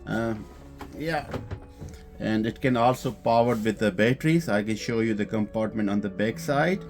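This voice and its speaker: Halting man, non-native English, demonstrating gear. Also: fairly low pitch, faint music behind. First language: not English. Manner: halting, hesitant delivery with many filled pauses. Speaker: presenter demonstrating a used boombox. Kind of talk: casual unscripted product demonstration.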